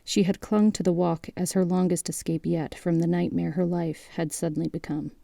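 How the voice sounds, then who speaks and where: A woman narrating an audio drama in a measured, literary storytelling manner. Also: lowish voice, flat delivery, gravelly, mellow, dry recording.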